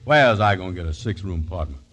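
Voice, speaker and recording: An actor playing a gullible, grumbling sidekick with drawling, ungrammatical folksy speech in a 1940s radio comedy. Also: male voice, deep voice, expressive, fast talker, a bit bright. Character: slow-witted, gullible, grumbling male sidekick. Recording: vintage 1940s radio broadcast.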